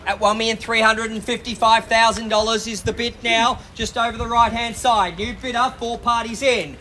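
High-voiced Australian auctioneer calling bids outdoors. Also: male voice, steady tone, pushed and bright, slight background noise. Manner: brisk, persuasive, pushing bidders to act. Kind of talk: live property auction calling. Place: outdoors on a suburban front driveway.

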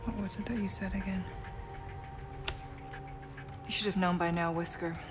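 A woman's voice: on the low side, steady tone, normal speed, music in the background, natural voice. An actress playing a cool, self-assured female spy in a video game, with a dry, understated, unflappable delivery. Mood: composed. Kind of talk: video game cutscene acting.

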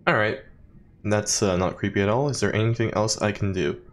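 A man's voice: lowish voice, brisk. A young man giving casual, sarcastic commentary while playing a video game. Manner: sarcastic, dry quips. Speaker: let's player. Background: game audio and dialogue.

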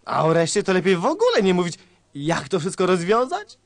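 A man's voice: high-pitched, wildly swooping pitch, fairly quick, creaky, no echo, heavily acted. A man playing an exasperated, sarcastic police colonel in a satirical sketch, theatrical and emphatic, repeating himself. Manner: theatrical, emphatic, repeating words in mock praise. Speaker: sketch performer. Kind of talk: satirical radio sketch acting.

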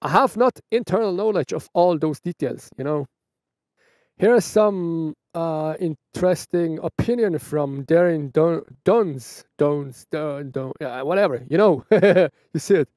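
A man's voice: a little high, measured pace, darkish tone, no echo, clean studio sound. Chatty Swiss German man outdoors. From Switzerland. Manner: chatty, restarts phrases, laughs often. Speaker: motovlogger. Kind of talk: casual Q&A vlog. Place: outdoors by a roadside bench.

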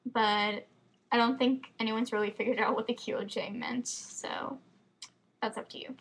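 A woman's voice: on the high side, steady tone, creaky, husky. A teenage girl from Florida with an American accent and a slight lisp, chatting casually and rambling into her computer webcam at home. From Florida, United States. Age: teens. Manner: quick, chatty, rambling with many fillers. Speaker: fan vlogger. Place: home room with bookshelves, indoors. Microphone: built-in computer webcam mic.

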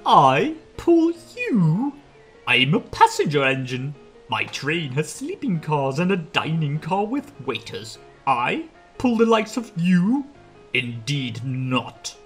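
A woman's voice: sits low, huge pitch swings, takes it slowly, soft background music, pitched above the performer's own voice, heavily acted. A woman voicing a snobbish new male passenger engine in a children's storybook, pompous and scornful.

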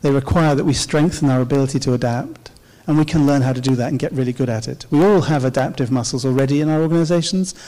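A middle-aged man giving a conference keynote on a large stage, speaking into a handheld mic in a measured, explanatory way with the odd pause. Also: mid-range voice, dark tone. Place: large dark auditorium stage. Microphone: handheld microphone. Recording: long hall reverb.

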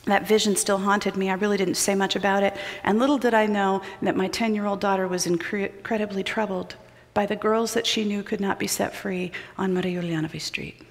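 A middle-aged woman preaches from a pulpit, her voice carrying a long reverb through a large church sanctuary. She tells personal stories, rising loud and urgent and then dropping to a hushed, almost whispered intensity. Her pitch sits in a middle register. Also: fairly even intonation, fairly quick.

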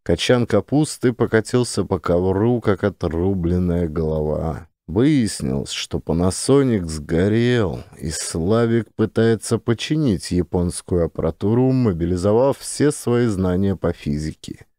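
A man's voice: deep voice, animated, unhurried, hardly any pauses, dark tone, dry recording, studio quality. A man narrating a satirical story as a scripted audiobook.

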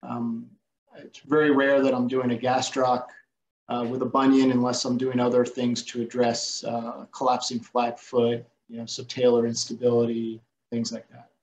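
A man on a video call, a surgeon describing his technique in a measured, methodical way. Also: mid-range voice, completely flat delivery, darkish tone. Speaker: surgeon panelist. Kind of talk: clinical explanation. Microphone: video call webcam. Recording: echoey room with faint noise.

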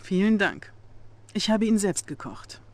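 A woman's voice: mid-range voice, sing-song, a little slow. An actress playing a young, ruthless female Soviet intelligence officer, controlled, icy and authoritative, in a game cutscene. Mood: cool, polite. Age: young adult. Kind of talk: dramatic military briefing.

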